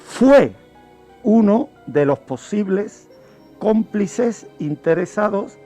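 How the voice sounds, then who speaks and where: A man, telling true-crime cases as a guest criminologist on a radio show, explaining deliberately. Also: high-pitched, lively intonation, warm tone. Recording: music bed under the voice. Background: background music.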